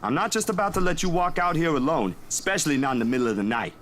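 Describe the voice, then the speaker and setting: A man's voice: a little high, big pitch swings, rapid-fire, fairly bright tone, a little hiss or hum. An actor playing a protective, easygoing young man in a visual novel, talking in a casual, solicitous way. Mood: protective, caring. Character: caring, protective young male friend, easygoing and concerned.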